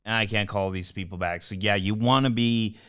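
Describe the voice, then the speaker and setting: Male host, conversational and informal, resonant lower voice, dry recording, casual business podcast feel. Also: fairly even intonation, a little fast, fairly bright tone, clean tone, dry recording.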